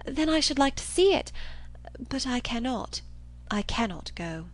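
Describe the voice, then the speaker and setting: A woman's voice: high-pitched, big pitch swings, a little slow, pitched above the performer's own voice, full character voice, low-quality recording. A woman voicing a naive, earnest young woman, polite and questioning, in a storybook reading. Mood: eager, wistful.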